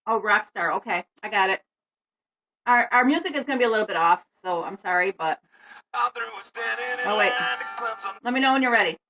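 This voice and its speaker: A woman with a high-pitched voice, just woken up, chatting and laughing on a karaoke livestream, often away from the mic. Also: lively intonation, normal speed, pauses often, sharp tone, faint music behind, rough recording. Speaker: karaoke co-host. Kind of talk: casual chat on a livestream.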